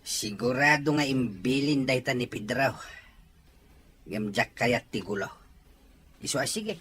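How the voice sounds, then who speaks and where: A man's voice: medium pitch, animated, unhurried, fairly bright tone, slight background noise. A man with an Ilocano accent playing a patient, fair-minded farmer father in an audio drama. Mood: resigned, thoughtful. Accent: Ilocano, from the Philippines.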